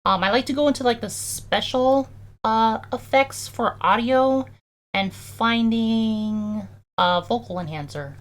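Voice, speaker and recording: A young adult streamer with a high-toned voice, chatting casually and filling every pause with "like" and "um" while working through audio edits live. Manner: chatty, heavy use of "like" and "um".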